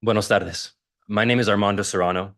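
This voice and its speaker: A man born in Mexico and raised in Utah whose first language is Spanish. He is a stage performer giving a measured, heartfelt public comment that cites figures, into a podium microphone in a city council chamber. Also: lowish voice, fairly even intonation, a bit bright. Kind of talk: prepared public comment speech.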